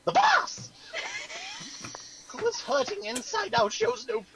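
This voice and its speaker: A man playing a melodramatic company boss in a mock dramatic reading, with exaggerated, halting, drawn-out delivery. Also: very high voice, huge pitch swings, gravelly, background noise, voice pushed up, full character voice. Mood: overwrought, anguished. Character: melodramatic boss in a mock-solemn email, sliding into mobster and creature.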